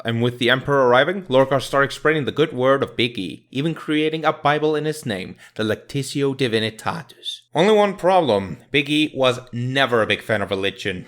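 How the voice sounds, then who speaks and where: A man's voice: mid-range voice, a bit bright. A man hosting a review channel, giving casual scripted commentary in a jokey, irreverent, slangy manner. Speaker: host of an audio drama review channel.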